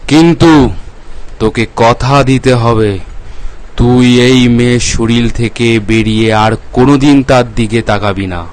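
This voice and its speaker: A man's voice: mid-range voice, close to an everyday voice, low-quality recording. A man voicing a solemn, commanding village holy man and exorcist in a cartoon story, grave and portentous. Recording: music under the voice.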